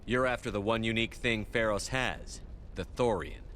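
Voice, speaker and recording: An actor playing a stoic, decisive military squad leader in a video game, with measured authority. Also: male voice, on the low side, a bit bright, a little hiss or hum, lightly acted. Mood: stern. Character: stoic, commanding male space soldier, decisive squad leader. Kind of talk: dramatic video game acting.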